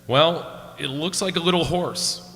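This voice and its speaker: An actor playing a dutiful space expedition commander in a comic radio play, formal and wry. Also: male voice, on the high side, a little slow, fairly bright tone. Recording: echoey hall with long reverb.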